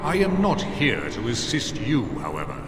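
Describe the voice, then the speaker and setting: Echoing, proud warrior spirit. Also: male voice, medium pitch, wildly swooping pitch, normal speed. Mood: proud, lecturing. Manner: formal, declamatory, haughty. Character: proud, stern male warrior spirit of valor. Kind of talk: fantasy video game dialogue. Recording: echoing reverb with loud background noise.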